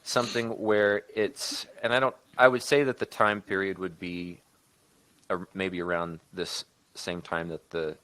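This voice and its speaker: Male podcast co-host, low voice, dry close sound, pitches stories informally with frequent rewording. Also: flat delivery, fairly bright tone, no echo, low-quality recording. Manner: halting, often backtracking and rewording mid-sentence. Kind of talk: casual creative discussion, improvised story pitch.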